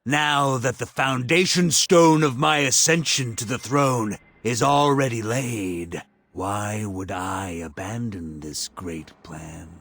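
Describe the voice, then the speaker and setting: An actor playing a cold, self-satisfied scientist villain in a video game, delivering a theatrical, gloating monologue with drawn-out emphasis. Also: male voice, mid-range voice, creaky, fairly bright tone. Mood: exultant. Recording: echoey, music underneath.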